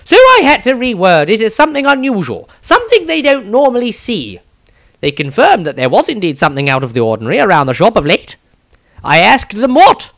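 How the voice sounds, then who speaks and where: A man's voice: very high-pitched, a bit bright, pitched above the performer's own voice, full character voice. A man voicing an eccentric, grumbling wizard diarist in a fantasy story, theatrical, rambling and wry. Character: eccentric, grumbling, self-satisfied male wizard adventurer diarist.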